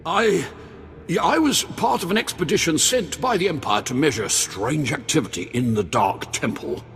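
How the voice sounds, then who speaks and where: An actor playing an anxious former slave posing as a grandiose Sith lord in a video game, husky, theatrical and faltering. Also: male voice, on the high side, animated, normal speed, a bit bright. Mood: bewildered. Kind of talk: video game cutscene acting. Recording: long hall reverb.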